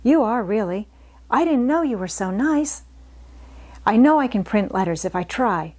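Woman voicing an eager, higher-pitched little girl. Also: animated, normal speed, a little mellow. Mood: delighted. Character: eager, earnest lonely little English girl.

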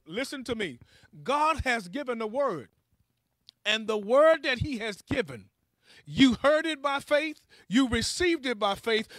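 A man's voice: extremely high pitch, a bit bright, dry recording, rough recording. A man preaching a charismatic sermon in a fervent, emphatic way, exhorting his listeners and repeating key phrases.

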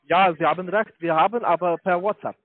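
A man with a foreign accent, not a native German speaker, giving a halting sales pitch as a call-centre investment salesman. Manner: halting, searching for words. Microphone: telephone. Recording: tinny narrow-band phone line.

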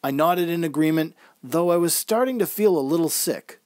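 A man's voice: a little high, animated, moderate pace, a little mellow, no echo, studio quality. A man reading a scripted first-person story as narrator, in the manner of old-time radio drama.

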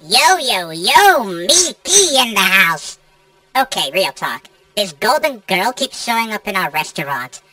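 A brash robot rapper voiced by a woman, bright and animated, slightly off the mic. The game lines come out slangy and indignant. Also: medium pitch, a little slow, dry recording, far from the mic. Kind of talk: video game character voice lines.

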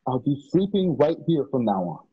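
A man playing a shaken, stubbornly loyal protector in a radio drama, talking haltingly. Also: mid-range voice, big pitch swings, slightly rough. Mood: stubborn, devoted. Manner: halting, stammering. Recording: dull lo-fi video call.

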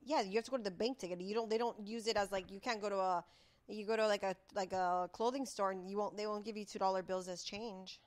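A woman co-hosting a comedic radio talk show, teasing and quick with her comebacks. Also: mid-range voice, fairly even intonation. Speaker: radio co-host. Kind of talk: casual comedic radio banter.

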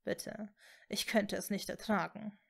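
A woman voicing a man deeply in love, hushed and pleading, in a fantasy audiobook. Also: steady tone, a little slow, vocal fry.

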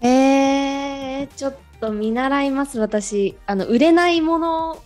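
Polite woman co-host, slightly high voice. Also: slow pace, darkish tone. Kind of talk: polite casual chat, show hosting. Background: background music.

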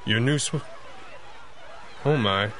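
Reserved, proper masked hero. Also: male voice, medium pitch, fairly bright tone, a little hiss or hum. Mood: flustered. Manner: formal, measured. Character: reserved, proper male masked crime-fighting boss. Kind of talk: 1930s-set radio superhero drama acting.

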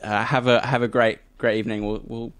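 A man's voice: mid-range voice, level tone, a little fast, fairly bright tone, smooth, dry recording. A man with an Australian accent, chatting casually as a podcast interviewer. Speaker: podcast host, actor.

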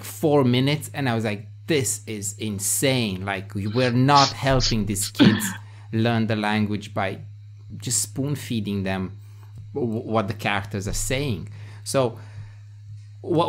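Animated Romanian man on a headset call. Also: mid-range voice. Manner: animated, quick bursts, restarts. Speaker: podcast host. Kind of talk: casual video-call chat. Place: home room with bookshelves, indoors. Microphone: headset on video call.

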